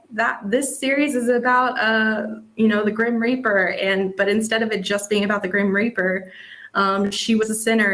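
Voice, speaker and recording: A woman working as an editor gives informal advice in a question-and-answer session, often dropping in "like" and "you know". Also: mid-range voice. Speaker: webcomic editor giving advice. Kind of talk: casual explanatory Q&A talk.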